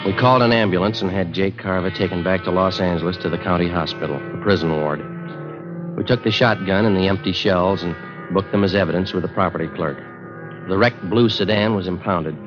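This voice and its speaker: A man playing a laconic, unflappable police detective in a 1950s radio drama, deadpan, terse and matter-of-fact. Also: low-pitched, a little fast, lightly acted. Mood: flat, factual. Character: laconic, unflappable male police detective sergeant, narrating his case. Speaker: lead actor and narrator. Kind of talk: 1950s radio drama, clipped procedural narration. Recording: dull vintage radio recording with music.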